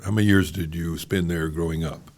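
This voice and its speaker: A man's voice: low-pitched, fairly even intonation, fairly quick, a little mellow. A man with a Texan accent hosting a podcast interview, talking in a thoughtful, reflective way with easy fillers. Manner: thoughtful, reflective, with a leisurely pace and frequent fillers. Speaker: podcast host. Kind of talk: casual interview.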